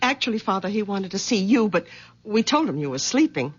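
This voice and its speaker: Worried, protective daughter for vintage radio play; husky, very expressive, dull recording. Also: female voice, medium pitch, fairly quick. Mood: gentle, careful. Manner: earnest, pleading, gently insistent. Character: protective, worried grown daughter of an old man. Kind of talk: old-time radio drama acting.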